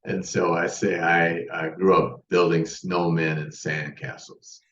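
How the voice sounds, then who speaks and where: Deep-voiced older man, distant and roomy. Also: little pitch movement, measured pace, fairly bright tone, slight background noise. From United States. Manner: rambling, with many restarts and fillers. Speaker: podcast guest. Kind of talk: casual interview storytelling. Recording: distant roomy muffled remote mic.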